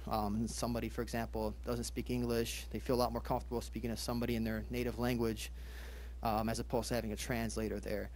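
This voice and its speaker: Quick-talking police officer, Polish first language. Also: male voice, mid-range voice, little pitch movement, darkish tone, a little hiss or hum. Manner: earnest, plain-spoken, many fillers. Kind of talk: community meeting introduction.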